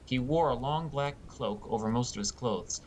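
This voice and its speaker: A man narrates a first-person fantasy audiobook in a mid-pitched voice. There is faint rumbling noise in the recording. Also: normal speed, darkish tone.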